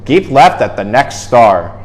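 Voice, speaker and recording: A man puts on a bossy lady's voice for a storybook sat-nav. The directions come out slow and measured, with an unhelpful, officious air. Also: medium pitch, sing-song, voice pushed down. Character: bossy female satellite navigation device, unhelpful.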